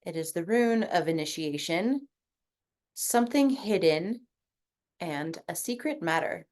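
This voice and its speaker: A woman's voice: lowish voice, animated, unhurried, darkish tone. A woman sharing in a group coaching video call, talking in a casual, reflective way, full of fillers and easy swearing. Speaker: coaching participant.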